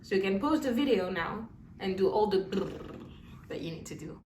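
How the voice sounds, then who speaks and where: A young adult woman teaching a singing lesson in a relaxed, encouraging way, checking in with her students and demonstrating warm-up exercises. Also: medium pitch, normal speed, heavy background noise. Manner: demonstrates lip trills and sung syllables. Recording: echoey room, voice a little far from the mic.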